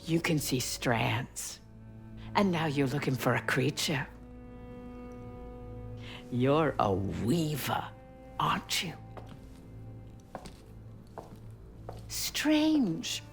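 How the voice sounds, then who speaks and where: An older Southern lady speaks very slowly, with a coy, condescending courtesy that hides menace. It is a game cutscene performance, with music clearly under the voice. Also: low-pitched, big pitch swings, exaggerated voice. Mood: coy, probing. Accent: American South. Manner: measured, coy, condescending politeness. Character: genteel, menacing older Southern matriarch with secrets. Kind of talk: video game cutscene acting.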